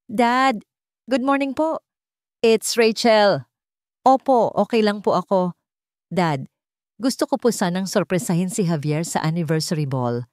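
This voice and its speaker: A woman voicing a betrayed wealthy wife, composed and calm with cold determination. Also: mid-range voice, unhurried, long pauses, no echo, clean studio sound, pitched above the performer's own voice, close to an everyday voice. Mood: polite, composed.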